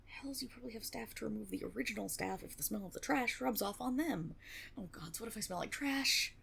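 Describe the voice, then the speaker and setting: A woman's voice: on the high side, a little fast, fairly bright tone, slight background noise. A woman playing an eager, nervous young female tiefling rogue in an intimate fantasy audio roleplay, rambling and stammering with a teasing streak. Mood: anxious, pleading. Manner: nervous rambling with stammers, sighs and breathy giggles.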